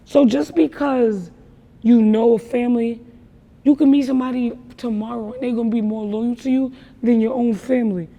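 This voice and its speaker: A young woman from New York with a naturally raspy voice, telling her story in a casual interview with emphatic, slangy talk. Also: fairly high pitch, steady tone, moderate pace, dark tone, big-room sound, dull audio. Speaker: rapper guest.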